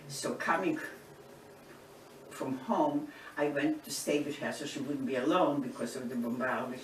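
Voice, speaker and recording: A woman, from eastern Poland, giving oral history testimony in an unhurried storytelling way, often restarting her phrases. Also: rumbling low. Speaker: Holocaust survivor interviewee. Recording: roomy, distant mic, background noise.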